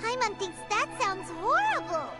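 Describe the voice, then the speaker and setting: A woman voicing a small, childlike, excitable fairy companion in a video game, squeaky and exclamatory. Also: measured pace, exaggerated voice. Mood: appalled. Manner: speaks of herself in third person, exclamatory. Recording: music behind the voice.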